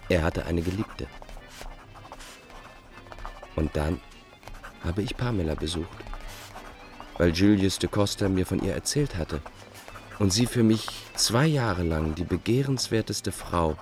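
A man's voice: low voice, unhurried, a little mellow, soft background music. An actor playing a bitter, self-justifying Dutch fugitive in a radio drama, setting out his letters in a formal, pedantic way. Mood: bitter, insistent. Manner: formal, pedantic, enumerating point by point. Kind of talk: radio drama monologue, letters read aloud.

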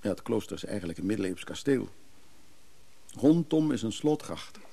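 Husky, gravelly, wistful middle-aged man. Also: fairly low pitch, big pitch swings, normal speed, dark tone, full character voice. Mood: wistful, reflective. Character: weary, wistful bereaved traveling businessman. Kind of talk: naturalistic Dutch radio drama acting.

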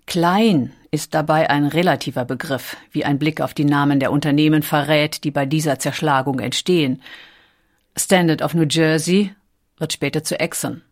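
A woman with a slightly low voice narrates a documentary. She reads from a script in a measured way, putting clear emphasis on key words as she tells the story. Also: fairly even intonation.

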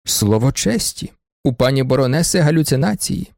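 A man's voice: mid-range voice, expressive, moderate pace, vocal fry, darkish tone, no room sound, clean studio sound. A man reading an audiobook, voicing an insolent young student who explains himself with mock courtesy. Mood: mock-polite. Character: insolent young male student, smooth talker. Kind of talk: audiobook character voice.

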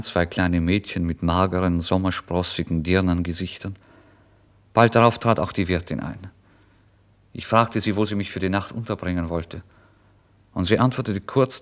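A man giving a dramatic first-person literary narration in a theatrical manner that moves between hushed and excited. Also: deep voice. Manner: theatrical, swinging from hushed murmurs to excited outbursts.

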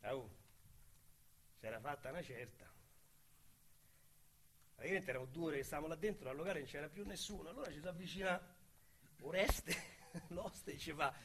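An actor with a Roman accent playing a boastful small-time male crook in a radio drama, talking in a colorful, slangy way that swings between loud bragging and hushed menace. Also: fairly high pitch, normal speed, pauses often, slight background noise. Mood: menacing. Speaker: narrator-protagonist.

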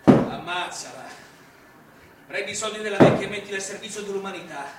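A very high-voiced man, played by an actor, in a radio drama, cold and fervent as he argues for killing. He is insistent and scornful, heard through heavy echo at a distance. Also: fairly even intonation, fairly quick, lightly acted. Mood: cold, goading. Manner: insistent, rhetorical, contemptuous. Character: cold, fervent man arguing coolly for murder. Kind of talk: theatrical radio drama acting. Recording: distant, very echoey, noisy drama recording.

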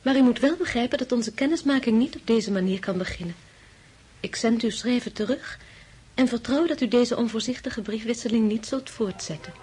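A woman's voice: a little high, fairly quick, darkish tone, close to an everyday voice. A woman playing a timid, polite young lady companion in a radio drama, formal and hesitant. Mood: earnest, formal.

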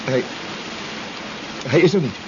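An actor playing a distraught, exhausted submarine pilot in a 1970s radio drama, stammering and repeating himself. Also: male voice, medium pitch, lively intonation, takes it slowly. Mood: shaken, apologetic. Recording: dull radio play recording, loud engine noise.

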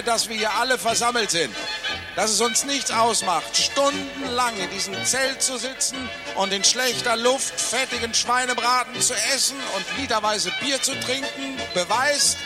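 A jovial beer tent orator with a strikingly high voice. He declaims his toast with pompous ceremony over loud music, in the manner of a satirical radio play.

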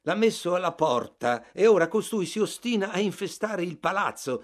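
A man voicing an agitated middle-aged man who complains loudly and insistently in a raised, high voice.

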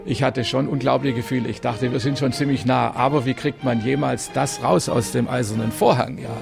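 Creaky-voiced man telling an anecdote. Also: medium pitch, fairly even intonation, a little fast, music in the background. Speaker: music journalist being interviewed. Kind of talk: anecdotal storytelling.

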